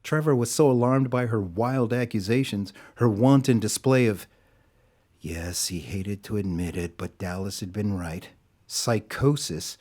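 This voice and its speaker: A male narrator for an audio drama, reading scripted prose. His voice sits on the low side, and he tells the story in a measured, literary way. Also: warm tone.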